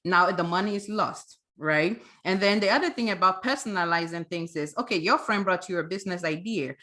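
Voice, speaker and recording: Bright-voiced woman coaching on a webinar. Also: on the low side, fairly quick. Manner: emphatic, checks with rhetorical "right?". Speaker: financial coach, webinar guest. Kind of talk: conversational explaining with examples.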